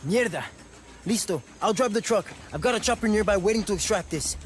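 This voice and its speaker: A man's voice: high-pitched, fairly quick, a little hiss or hum, lightly acted. A man playing an eager, loyal rebel sergeant in a video game, with a Latin American Spanish accent. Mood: urgent. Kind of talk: video game cutscene acting.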